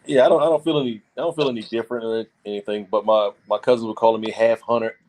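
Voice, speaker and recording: A man's voice: fairly low pitch, steady tone, very fast, darkish tone, rough recording. A man in his forties, a guest on a live-stream chat, telling easygoing stories and laughing. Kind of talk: casual storytelling chat.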